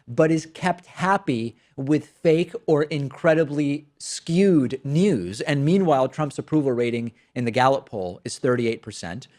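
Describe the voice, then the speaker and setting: A man's voice: mid-range voice, darkish tone. A man hosting a political commentary show, speaking English as a second language after growing up with Spanish. He talks in a sardonic, incredulous, emphatic way and also reads a sponsor ad. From Spanish-speaking country, raised in the United States from childhood.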